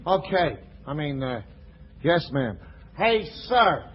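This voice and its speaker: A man's voice: on the high side, sing-song, takes it slowly, background noise. An American actor playing a dim gangster henchman turned servant in 1940s radio comedy, hesitant, slangy and understated. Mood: sheepish, hesitant. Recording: dull 1940s radio recording.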